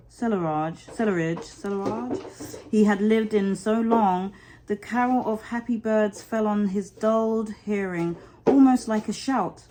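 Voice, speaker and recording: A woman storyteller reading a children's story expressively, with deliberate, dramatic phrasing. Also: mid-range voice, mellow, slight background noise, poor audio.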